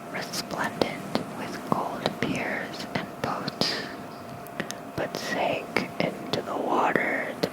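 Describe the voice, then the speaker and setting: Slow, soft ASMR whisperer. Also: low-quality recording. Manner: soft, hushed, unhurried bedtime reading. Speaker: ASMR creator reading aloud. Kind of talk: whispered ASMR reading of folklore.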